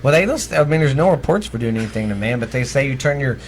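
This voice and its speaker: A man's voice: medium pitch, lively intonation, fast, a little hiss or hum. A middle-aged man from Texas with a Texan accent, chatting casually as a podcast co-host in a blunt, folksy, profane way. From Texas, United States. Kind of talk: casual ghost-story chat.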